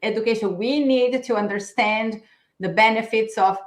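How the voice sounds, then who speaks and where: A middle-aged woman interviewing a guest over a video call, her questions halting and restarted. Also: a little high. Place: room at home. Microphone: video call webcam. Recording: echoey room.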